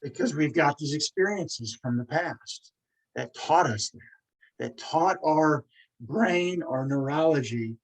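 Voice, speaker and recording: A man's voice: fairly high pitch, animated, rough recording. A man in his forties who stutters, hosting a podcast and speaking in an earnest, emphatic casual monologue. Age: 40s. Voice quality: stutters with repeated sounds. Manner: earnest, emphatic, quick bursts. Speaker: podcast host.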